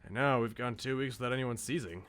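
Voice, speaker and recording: A man's voice: mid-range voice, fast, a bit bright, faint music behind, close to an everyday voice. A man voicing a brash, insecure but loyal eighteen-year-old slacker in a visual novel, with blunt, casual slang. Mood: reassured. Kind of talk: visual novel character read aloud.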